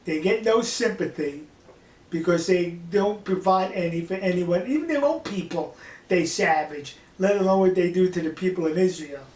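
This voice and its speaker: An older man with a notably high voice talks straight to his viewers in an echoey room. He delivers casual commentary in a folksy, emphatic way, often posing questions and answering them himself. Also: normal speed, darkish tone. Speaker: online political commentator.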